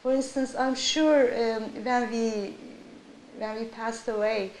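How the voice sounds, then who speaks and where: Higher-voiced Turkish-accented woman, echoey. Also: steady tone, slow pace, darkish tone. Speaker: local resident interviewed. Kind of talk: interview talk. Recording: echoey room with faint hiss.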